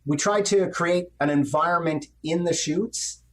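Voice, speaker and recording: Higher-pitched middle-aged man explaining his craft. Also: a little slow. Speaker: animation director, interview guest. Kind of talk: conversational interview, explaining his work. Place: indoor room on a video call.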